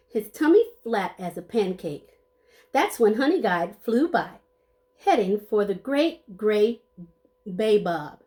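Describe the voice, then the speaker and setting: A woman's voice: mid-range voice, a little mellow. A woman reading a children's story aloud expressively, playing up the sound words and adding short asides to her listeners. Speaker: storybook reader.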